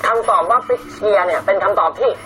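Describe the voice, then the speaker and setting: Young male kids' show host over loud music. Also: high voice, fast. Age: 20s. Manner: playful, speaks as an older brother to young viewers. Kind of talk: upbeat children's show presenting with quiz and trivia. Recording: music playing loudly behind the voice.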